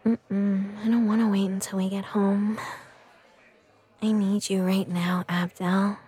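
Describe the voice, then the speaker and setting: Woman acting as playful adult girlfriend, intimate audio roleplay, unhurried, breathy, soft mellow tone, teasing. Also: medium pitch, flat delivery. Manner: breathy, slow, teasing, often dropping into whispers.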